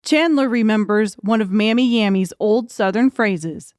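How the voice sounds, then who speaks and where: A woman reading scripted narration for a dark thriller audiobook. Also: mid-range voice, measured pace, no echo, studio quality.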